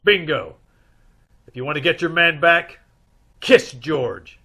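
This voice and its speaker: A brisk, wisecracking private eye from an old-time radio mystery, played by a man. His voice is forceful and bright, pitched a little high, with a suave air. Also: expressive, slightly rough, full character voice. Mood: pressing.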